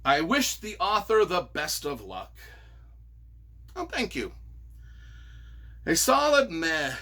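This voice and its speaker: A man's voice: on the high side, huge pitch swings, slow pace, bright, forceful tone. A man reading reviews aloud and reacting off the cuff as their author, with a theatrical, emphatic, mock-exasperated delivery.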